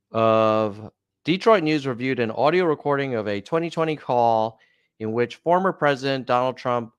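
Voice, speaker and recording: A man's voice: mid-range voice, fairly bright tone, smooth, dry recording, clean studio sound. A man hosting a casual news commentary show, talking in a chatty way with offhand asides.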